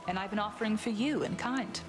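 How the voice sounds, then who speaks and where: An actress playing a confident young huntress goddess in a fantasy game, informal, sisterly and dryly wry. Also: female voice, mid-range voice, a little fast, lightly acted. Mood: friendly. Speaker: actor voicing a game character. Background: game music.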